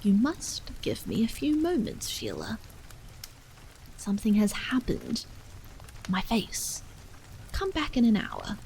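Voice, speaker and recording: A woman reading aloud and voicing a troubled husband who speaks gravely, dully and stubbornly, with halting pauses. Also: fairly high pitch, huge pitch swings, darkish tone, a little hiss or hum, heavily acted, poor audio.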